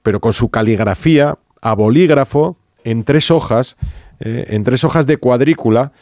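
A Spanish-accented man presents a late-night radio sports program in a dry, close studio sound. His pitch sits in the ordinary middle range for a man, and he chats easily, slipping in quick asides. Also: a little mellow, dry recording. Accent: Spain Spanish. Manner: conversational, reads aloud with asides and small laughs. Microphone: studio microphone.

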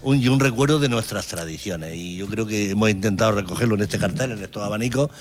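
A man's voice: lowish voice, quick pace, slight background noise. A man, a town mayor answering in an earnest, speech-like way, stacking phrases in lists.

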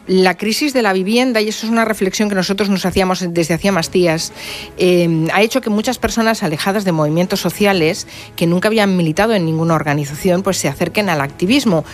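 A woman from hosting a radio news segment, introducing a report in a measured, serious way. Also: mid-range voice, soft background music.

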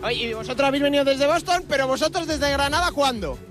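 A man working as a roving reporter, chatting to fans with quick-fire questions in live street interviews. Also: very high voice, lively intonation, fairly bright tone, faint music behind. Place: outside a stadium.

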